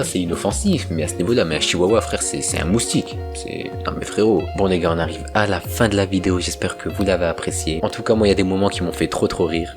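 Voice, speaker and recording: A young man with a fairly low voice reacts to clips in quick, slangy chat. He laughs often and keeps a loose, animated delivery. Music plays underneath. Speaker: host reacting to videos. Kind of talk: casual reaction commentary.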